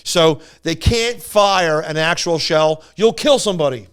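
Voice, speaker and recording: A man with a smooth, fairly high voice spins a casual story on a podcast. He rambles along, stumbling over words and circling back to repeat phrases. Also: measured pace. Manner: rambling, stammering, repeats himself. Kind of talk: casual anecdote telling.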